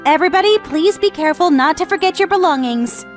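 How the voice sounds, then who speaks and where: A woman playing a kind, polite, gentle young receptionist in a romance comic dub. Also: high-pitched, expressive, moderate pace, soft background music. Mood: cheerful. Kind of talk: anime-style dub acting.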